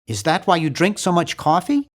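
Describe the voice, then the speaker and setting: A man voicing a nerdy, earnest and anxious young hero in a video game, with a fussy, animated delivery. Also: sits high, normal speed. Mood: worried, pleading. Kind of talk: video game character voice lines.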